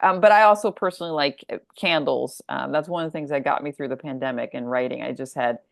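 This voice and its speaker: A woman speaking as a guest author in a casual, reflective interview, haltingly thinking aloud and repeating words. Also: extremely low pitch, creaky, dry recording, clean studio sound.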